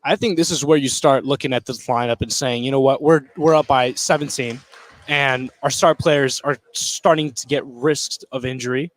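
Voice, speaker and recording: A man's voice: mid-range voice, steady tone, normal speed. An American man giving casual, opinionated color commentary on a live basketball game in a conversational way.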